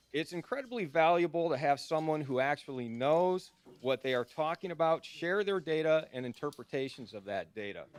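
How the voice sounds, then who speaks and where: A man's voice: on the high side, clear, resonant tone. A man, a podcast host introducing a speaker at a live event, casual with some filler words.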